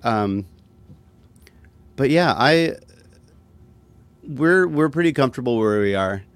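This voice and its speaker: A man chatting casually as a musician being interviewed, talking in a laid-back, self-deprecating way with halting phrasing. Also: mid-range voice, expressive. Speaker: musician guest.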